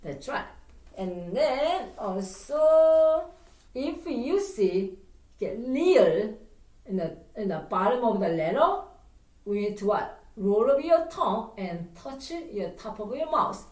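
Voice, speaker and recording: A middle-aged woman with a Korean accent gives a pronunciation lesson in English, and her pitch leaps up and down. She drills each word by saying it over and over. Her voice sits fairly high and sounds roomy, recorded from a distance. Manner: animated, repeats words slowly and drills them. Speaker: Korean teacher.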